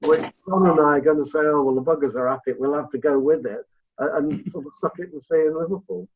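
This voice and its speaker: A man's voice: a little high, fast, slightly rough. A long-winded, opinionated man co-hosting a political interview podcast in a conversational style. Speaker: podcast co-host. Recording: tinny narrow phone-like line.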